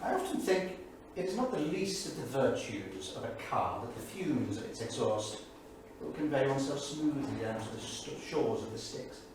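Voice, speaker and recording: An actor playing a bitter, erudite English diarist of the 1930s, declaiming a journal in a sardonic, literary manner. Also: male voice, mid-range voice, expressive, moderate pace, few pauses, lightly acted. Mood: grimly wry. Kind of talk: staged dramatic reading of a journal. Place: theatre studio stage, far microphone. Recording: distant echoey theatre studio, faint noise.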